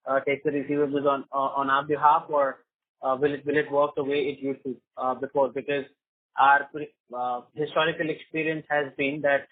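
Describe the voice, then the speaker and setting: A man's voice: medium pitch, brisk, no room sound. A man asking questions as an analyst on an earnings call, hesitant and repeating his words. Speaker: caller, financial analyst. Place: conference phone call. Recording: flat, dry narrow phone line.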